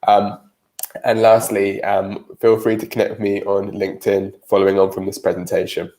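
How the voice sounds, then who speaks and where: A man's voice: on the low side, completely flat delivery, normal speed, mellow. A British man with a cold and a nasal voice, giving a live-streamed career webinar presentation with frequent ums.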